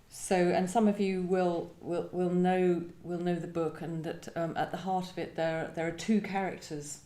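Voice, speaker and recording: Mellow woman poet at a live reading, thoughtful, bit tentative, roomy echo. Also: fairly low pitch. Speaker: guest poet. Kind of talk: live poetry event, introducing and reading poems. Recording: echoey room.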